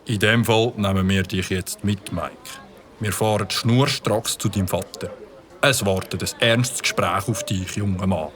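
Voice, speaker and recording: Swiss German policeman, stern, formal, slow-spoken, faint background hiss. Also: on the low side, fairly even intonation, a bit bright. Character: stern male village police officer, middle-aged. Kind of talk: audio drama acting.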